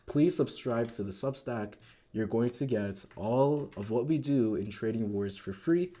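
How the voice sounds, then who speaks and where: A man hosting a trading live stream, recapping the market in casual, upbeat talk that keeps asking "right?", his voice growing a little raspy. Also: medium pitch, measured pace, dark tone. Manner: enthusiastic, repeats words, asks "right?" often. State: voice getting raspy.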